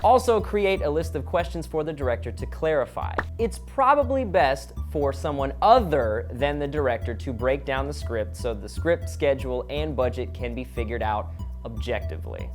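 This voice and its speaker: A man's voice: medium pitch, animated, normal speed, soft background music. A man hosting a comedic vlog, explaining things in a scripted, instructional way with casual joking asides. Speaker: vlog host.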